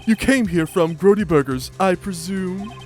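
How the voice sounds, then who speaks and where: A man's voice: high-pitched, normal speed, soft background music, close to an everyday voice. A man voicing a smug, entitled corporate villain for a video game, pompous and theatrical with flowery made-up words. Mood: menacing, sneering. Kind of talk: video game character voice-over.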